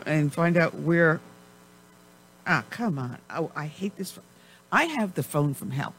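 A woman's voice: on the low side, slight background noise, rough recording. Chatty woman host losing her voice. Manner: chatty, rambling, laughs often. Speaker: radio talk show host. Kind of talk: casual talk radio chat.

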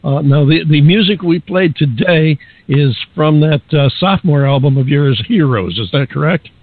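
Halting radio host on a thin line. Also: male voice, on the high side, narrow, tinny audio. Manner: genial, halting, many restarts. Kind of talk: radio interview.

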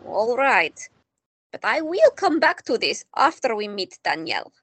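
A woman's voice: a little high, sing-song, unhurried, a bit bright, breathy edge, dry recording, heavily acted. An actress playing an elderly wife who fusses over her partner, firm and protective, in an audio drama. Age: older. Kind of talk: amateur audio drama acting.

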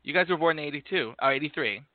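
Playful man, lively voice, tinny call. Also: on the high side, rapid-fire, no room sound. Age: 30s. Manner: playful, campy, laughing asides. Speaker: podcast co-host. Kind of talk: casual podcast chat. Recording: tinny phone-like call audio, dry.

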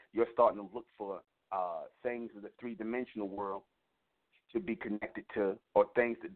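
A man hosting an internet radio show, delivering a repetitive, emphatic spiritual teaching monologue. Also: lowish voice. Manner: preaching, repetitive emphatic phrasing. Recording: tinny phone line.

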